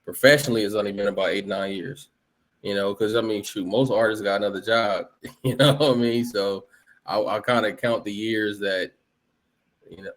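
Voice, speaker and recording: Low-pitched male guest from Los Angeles, late thirties, loose and rambling. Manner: relaxed, rambling, many fillers and restarts. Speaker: guest artist. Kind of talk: casual interview.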